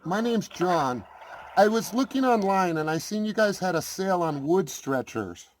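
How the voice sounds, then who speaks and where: A man's voice: sits high, expressive, moderate pace, a crowd in the background. A man making a casual prank phone call, speaking with feigned earnestness as a clueless customer. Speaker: prank caller.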